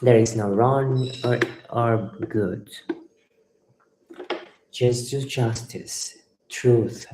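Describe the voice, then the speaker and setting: A woman's voice: a bass voice, steady tone, extremely slow, pauses often, background noise. A woman from Ecuador, a tarot reader chatting with viewers on a live stream, reading their comments aloud and reacting, often repeating her own phrases. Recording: echoey room.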